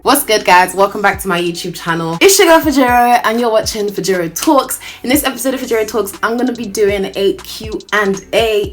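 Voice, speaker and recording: A woman in her twenties from Nigeria, chatting casually through a Q&A on her vlog, playful and quick to joke. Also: medium pitch, fairly bright tone. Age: 20s. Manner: chatty and playful, jokes and laughs often. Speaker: YouTuber.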